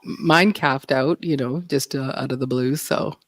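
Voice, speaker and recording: A woman's voice: a bass voice, a little slow, dry recording. A woman hosting a casual livestream, chatting with viewers and a guest, laughing often and filling her talk with ums and restarts. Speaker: livestream host.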